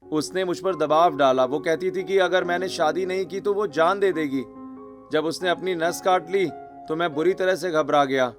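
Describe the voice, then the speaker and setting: A man's voice: on the high side, moderate pace, music in the background, close to an everyday voice. A man voicing a short-tempered, troubled young husband in a cartoon story, emphatic and complaining. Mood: troubled, defensive. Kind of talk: Hindi cartoon story voice acting.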